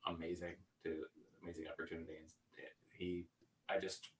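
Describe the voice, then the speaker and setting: A man chatting casually as an interviewed voice actor and director, halting and stammering as he starts his sentences. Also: low-pitched, flat delivery, a little hiss or hum. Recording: distant from the microphone.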